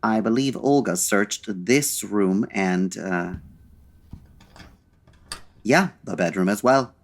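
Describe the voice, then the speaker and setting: An actor playing a suave, wry 1940s gentleman detective in a comedic radio drama, with dry, urbane and formal delivery. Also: fairly low pitch, slow pace. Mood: dry, composed. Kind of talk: comedic old-time radio drama acting.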